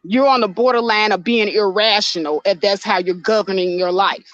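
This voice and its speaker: A woman's voice: mid-range voice, normal speed, no room sound, lo-fi sound. A woman hosting a livestream with a powerful voice, arguing her point bluntly and answering her chat. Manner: blunt and argumentative, reading chat aloud. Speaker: livestream host. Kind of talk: casual livestream commentary.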